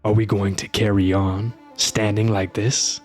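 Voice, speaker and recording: A man voicing a calm, guarded male monster hunter who speaks tersely. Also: on the low side, unhurried, faint music behind.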